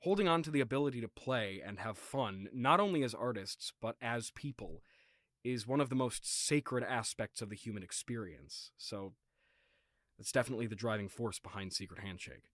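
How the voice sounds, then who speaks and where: Guy, normal pitched voice, chatty and kinda deadpan, playing himself in a skit, no room echo. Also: moderate pace, studio quality. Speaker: founder of an audio production company, playing himself. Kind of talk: casual conversational acting in a comedy skit.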